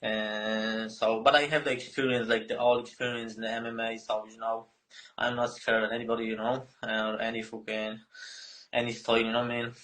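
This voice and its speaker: A man in his twenties with a Polish accent, a fighter answering interview questions in halting, broken English full of fillers and swearing. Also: medium pitch, level tone, a bit bright, low-quality recording. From Poland. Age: 20s.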